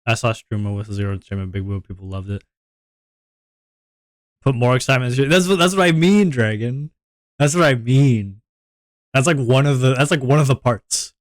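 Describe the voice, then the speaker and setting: A man with a deep voice, streaming and chatting casually to his viewers in a rambling, self-reflective way, often repeating his words. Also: fairly quick, long pauses, dry recording, studio quality.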